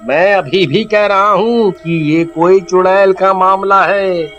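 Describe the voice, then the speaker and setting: A man playing a superstitious, bossy, argumentative villager in an animated story. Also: high-pitched, takes it slowly, faint music behind. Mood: insistent warning.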